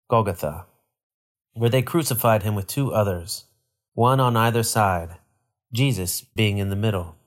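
A man reading a Bible narrative aloud in a solemn, measured and formal way. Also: medium pitch. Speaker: scripture reader.

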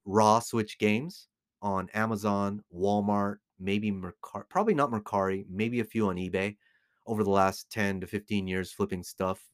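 Low-voiced middle-aged American man, close and dry. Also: dry recording. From United States. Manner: emphatic, repeats phrases for stress. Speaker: podcast host, collectibles dealer. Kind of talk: informal opinionated commentary to listeners.

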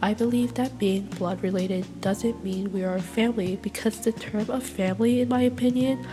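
A woman's voice: mid-range voice, long reverb tail. A young woman with a congested voice, sick with a cold, speaking outspokenly in a solo class podcast reflection. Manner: outspoken and opinionated. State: congested from illness. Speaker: education student.

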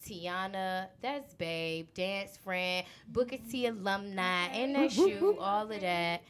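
A woman's voice: mid-range voice, takes it slowly, crowd noise. A woman hosting a casual podcast chat with friends, talking in an informal, giggly way. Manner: giggly, animated among friends. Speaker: podcast host.

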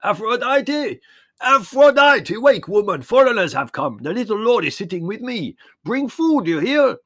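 A man voicing an excitable, hearty old Greek shepherd in a storybook, emphatic and given to repeating himself. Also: a little high, normal speed, vocal fry, slightly rough, dry recording, clean studio sound, pitched above the performer's own voice, full character voice. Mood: roaring orders. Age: older. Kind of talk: storybook character voice.

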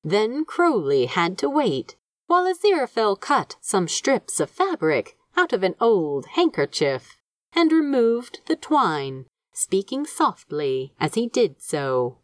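A woman reading a scripted story as narrator, in an expressive, dramatic storytelling manner. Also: medium pitch, slow pace, no room sound, studio quality. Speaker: fan fiction audiobook narrator.

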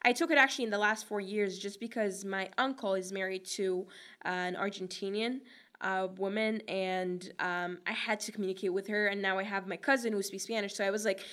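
A woman's voice: mid-range voice, fairly even intonation, a little fast, fairly bright tone. A young woman from Egypt whose first language is Arabic, chatting as an interview guest with lots of fillers and frequent laughs. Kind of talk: casual interview answers.

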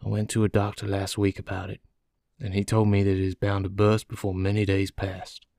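Man voicing a low, weary, placid doomed prisoner. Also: completely flat delivery, creaky, warm tone, no room sound, clean studio sound. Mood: placid, resigned. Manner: slow, placid, methodical. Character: calm, weary, doomed male prisoner confessing. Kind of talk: audiobook character dialogue.

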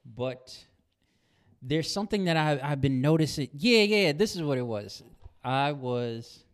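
A man's voice: fairly high pitch, lively intonation, a little slow. A young American man co-hosting a podcast, talking casually with a friend, with slang and some swearing. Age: young adult. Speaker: podcast co-host.

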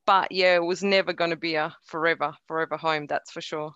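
A woman's voice: low-pitched, fairly even intonation, brisk, fairly bright tone, smooth, no room sound, dull audio. A middle-aged woman from Croatia, a Croatian speaker with a faint Croatian accent, chatting easily in an interview, laughing often and restarting her sentences. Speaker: interview guest. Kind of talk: relaxed conversational interview.

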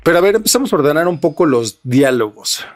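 A man with a Mexican accent explaining things as a podcast host, repeating phrases for emphasis and pausing to find words. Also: a little high, sing-song.

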